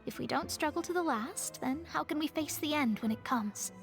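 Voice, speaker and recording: A woman's voice: high voice, expressive, normal speed. A woman playing an earnest, proud young female astrologer mage in a video game, speaking articulately and formally. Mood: solemn, hopeful. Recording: faint music behind the voice.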